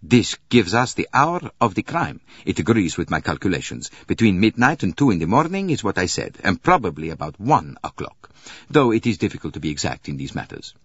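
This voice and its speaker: Man acting an eager doctor, raised husky voice. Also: very deep voice, big pitch swings, a little fast, no room sound, highs cut off, full character voice. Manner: eager, formal, exclamatory. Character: earnest, eager male doctor, courteous and precise. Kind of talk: audiobook character voice.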